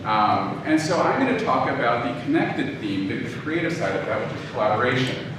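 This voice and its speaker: A man giving an informal lecture to an audience, speaking in a hesitant, halting, low-key way. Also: animated. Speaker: guest lecturer, audio drama producer. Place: echoey room, live talk before an audience. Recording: echoey room, distant, clearly noisy background.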